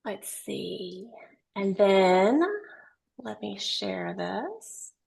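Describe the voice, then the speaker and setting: A woman in her forties from Texas with a Southern drawl, giving a webinar lecture as a nurse educator over a video call in a warm, effusive manner. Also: medium pitch, vocal fry. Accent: Texan Southern drawl. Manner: warm, effusive, slow-paced. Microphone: computer mic on video call.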